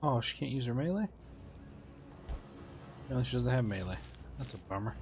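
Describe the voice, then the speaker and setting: A man's voice: mid-range voice, expressive, normal speed. A man giving casual gameplay commentary, muttering to himself and reading tips aloud. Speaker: game video host.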